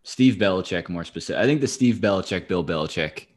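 A man with a fairly low voice hosts a casual sports talk show. He chats in a wry, dry way and swears freely as he gives his commentary. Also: lively intonation, fast. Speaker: sports podcast host.

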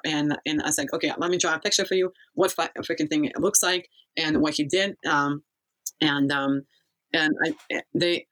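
Halting, rambling storyteller from Kazakhstan. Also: medium pitch, a bit bright, poor audio. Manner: rambling, halting, often loses thread. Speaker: interviewee. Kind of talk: personal life-story interview, casual speech.

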